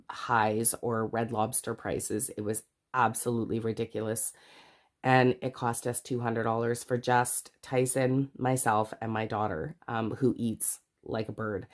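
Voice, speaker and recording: A middle-aged woman from Canada, congested from a cold, chatting casually to camera about her budget with rambling, self-correcting asides. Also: extremely low pitch. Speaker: budgeting vlogger.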